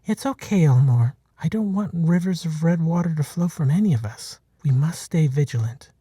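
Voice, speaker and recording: A man's voice: a little high, lively intonation, normal speed, warm tone, husky, no room sound, studio quality. A man voicing a female water buffalo who leads her herd, speaking in an earnest, commanding way. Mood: gentle, watchful. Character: female water buffalo herd leader, steady, watchful, protective.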